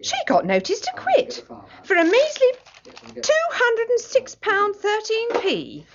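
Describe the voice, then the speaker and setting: An actress playing an outspoken, challenging young typist in a radio drama. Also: female voice, extremely high pitch, huge pitch swings, moderate pace, muffled recording, noisy background, exaggerated voice. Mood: indignant. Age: young adult. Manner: pert, challenging. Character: outspoken, politically minded young female typist.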